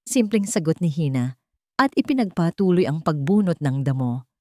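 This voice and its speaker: A Filipino woman narrates a scripted romantic drama. Her voice sits on the low side for a woman, and the recording is dry, with very little room sound. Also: expressive, normal speed, a little mellow, dry recording.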